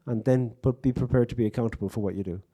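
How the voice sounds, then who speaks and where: Mellow-voiced Irish man, wry and reflective. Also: mid-range voice, quick pace, husky. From Ireland. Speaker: poet and guest speaker. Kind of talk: live on-stage conversation. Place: bookstore event stage before an audience.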